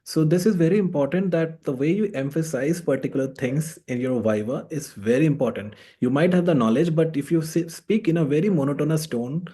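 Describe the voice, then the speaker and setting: Mellow-voiced man interviewing and advising. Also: a little high, brisk, hardly any pauses, husky. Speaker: host, exam course mentor. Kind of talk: conversational interview, giving exam advice.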